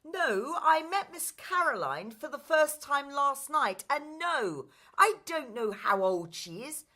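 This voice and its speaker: Woman playing an urgent, high-pitched young prince. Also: very animated, slow, bright, forceful tone, full character voice. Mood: indignant. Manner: urgent, commanding. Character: lovestruck, impatient young prince, male. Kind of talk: musical radio play acting.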